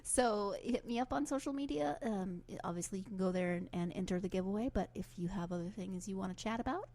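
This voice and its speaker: Enthusiastic woman podcast host, a bit hoarse. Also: mid-range voice, fairly quick. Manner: warm-hearted, enthusiastic, chatty asides. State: hoarse from a cold. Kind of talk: solo podcast outro.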